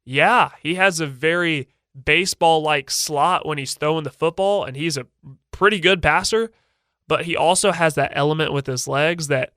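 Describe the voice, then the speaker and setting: Congested bright-voiced male sports host. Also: on the high side, dry recording, studio quality. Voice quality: nasal. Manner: confident, opinionated, energetic. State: head cold, congested. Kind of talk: solo sports talk show.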